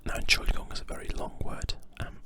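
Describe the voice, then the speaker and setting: Young whisperer, informal ASMR language tutor, rambling and carefully repeating words. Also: fast talker. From Germany. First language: German. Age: young adult. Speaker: language teacher.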